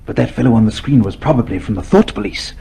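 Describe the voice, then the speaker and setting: A man playing a clerk's sly inner alter ego in a radio drama, breathy, insinuating and goading. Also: fairly low pitch, animated, fast talker, warm tone, heavily acted. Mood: sly, probing.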